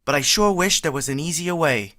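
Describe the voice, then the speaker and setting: A woman's voice: low voice, brisk, a bit bright, faint music behind. A woman playing a bashful, stammering young man. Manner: stammering, timid. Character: bashful, tongue-tied young man of twenty-one. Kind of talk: vintage radio play acting.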